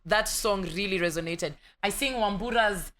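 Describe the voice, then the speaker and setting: A woman's voice: mid-range voice, normal speed, a bit bright, a little hiss or hum. A young woman with a Kenyan accent, a guest in a casual interview, telling her stories with animation, dropping in Swahili words and acting out other people's voices. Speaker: actress guest.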